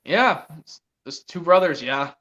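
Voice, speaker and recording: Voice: mid-range voice, animated, fairly bright tone, muffled recording, slight background noise. A stream host chatting casually on a video call, often stopping and restarting sentences. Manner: halting, many restarts.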